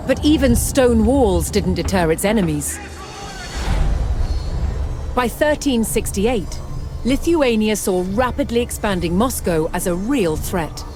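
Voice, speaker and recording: A woman delivering scripted historical narration for a strategy game, in a measured storytelling manner. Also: mid-range voice. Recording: music behind the voice. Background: background music.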